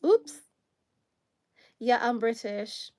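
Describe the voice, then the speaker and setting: A woman's voice: a little high, expressive, drawn-out words, dark tone. A woman with a Kenyan accent hosting a casual livestream chat, rambling and correcting herself with frequent laughter, sounding a bit tired. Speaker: livestream host.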